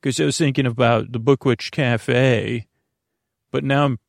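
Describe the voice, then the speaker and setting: A man hosting a bedtime podcast in a rambling monologue, halting and interrupting himself, with sentences that wander and trail off, in a gravelly voice. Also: medium pitch, fairly even intonation, dry recording, studio quality. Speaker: podcast host.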